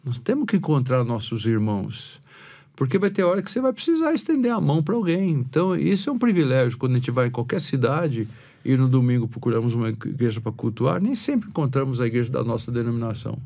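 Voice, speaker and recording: A man's voice: mid-range voice, big pitch swings, fast, vocal fry, dark tone. A Brazilian man, a pastor and podcast guest, telling stories in a rambling way with many restarts. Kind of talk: casual podcast conversation.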